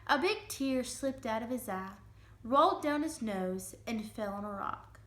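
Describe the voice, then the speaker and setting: A woman with a high voice narrates a children's story as a dramatic reading. Her delivery is expressive, and the room adds noticeable echo around her words. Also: measured pace. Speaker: reader giving a dramatic reading. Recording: roomy, echoing room sound.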